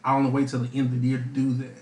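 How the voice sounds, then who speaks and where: A man's voice: medium pitch, very fast, echoey room. A young man co-hosting a podcast, talking bluntly in casual slang. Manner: flat, blunt, slangy, profane. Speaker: podcast co-host. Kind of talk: casual chat.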